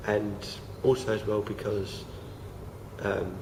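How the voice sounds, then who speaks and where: A young man talks haltingly, full of fillers and false starts. His low voice is gravelly and croaky from feeling unwell, and a steady hiss sits under the home recording. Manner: hesitant, many fillers and restarts. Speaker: vlogger. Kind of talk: casual talk to camera.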